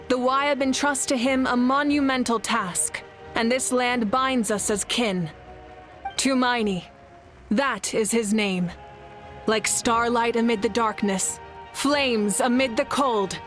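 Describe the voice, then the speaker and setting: A woman playing a commanding warrior leader in a video game, solemn and rallying, speaking with measured, dignified oratory. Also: a little high, fairly bright tone, faint music behind. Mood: solemn, proud.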